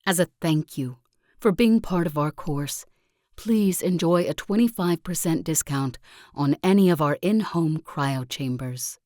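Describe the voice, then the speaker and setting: A low-voiced female sect leader for a strategy game. She delivers her lines slowly and solemnly, like a preacher who is wholly sure of her creed. The sound is dry and close. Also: darkish tone, no room sound. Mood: smooth, promotional. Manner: solemn, deliberate, sermon-like oratory. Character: zealous self-help guru and sect leader, preaching with calm conviction. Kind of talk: scripted video game character monologue.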